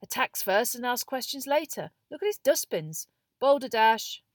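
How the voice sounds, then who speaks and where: A woman voicing a blunt, excitable teenage schoolboy in a storybook, talking in a casual, slangy way. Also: a little high, moderate pace, no echo, clean studio sound, pitched above the performer's own voice. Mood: offhand.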